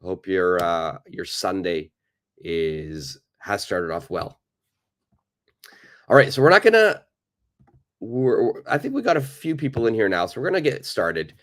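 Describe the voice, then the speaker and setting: A man with a cold and a raspy voice, teaching a live online English lesson, greeting viewers and repeating points slowly. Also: low-pitched, sing-song, fairly bright tone.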